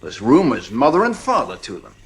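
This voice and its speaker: Blunt uneasy shrink in an old 50s radio play, voice swings up and down, authoritative. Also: male voice, mid-range voice, wildly swooping pitch, brisk. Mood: blunt, admonishing. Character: blunt, uneasy male psychiatrist and family friend. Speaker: radio drama actor. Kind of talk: 1950s radio drama acting.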